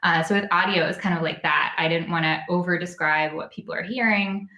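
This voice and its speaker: Bright low-voiced woman in echoey room. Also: fairly quick. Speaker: podcast writer, guest. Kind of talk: casual interview talk.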